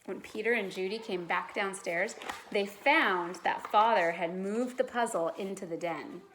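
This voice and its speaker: A woman with a mid-range voice reads a children's story aloud. Her delivery is expressive, with dramatic emphasis, like a teacher narrating to a class. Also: mid-range voice, a little slow, a little hiss or hum, low-quality recording.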